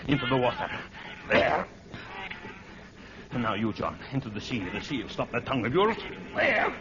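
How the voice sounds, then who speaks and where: A haunted killer at sea, raving and cornered, repeating himself in bursts. It is vintage radio horror with heavy noise and a muffled, narrow sound. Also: male voice, medium pitch, big pitch swings, moderate pace. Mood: gloating, manic. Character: guilt-crazed murderer haunted at sea, cornered and frantic. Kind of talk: 1940s radio horror acting. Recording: noisy muffled 1940s radio recording.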